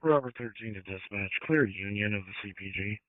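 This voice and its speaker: A man's voice: fairly low pitch, a little fast, no room sound. A man making terse emergency call-ins over a two-way radio. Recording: tinny dry scanner radio transmission.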